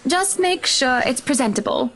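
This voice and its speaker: A woman's voice: sits high, lively intonation, normal speed, creaky, a bit bright, breathy edge, full character voice. A woman playing a wealthy, fashionable married hostess in a visual novel game, breezy, gushing and playfully teasing.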